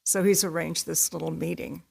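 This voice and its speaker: A woman's voice: sits low, fairly even intonation, normal speed, vocal fry, darkish tone, slightly rough. A woman, a community theatre actor, explaining things conversationally as an interview guest.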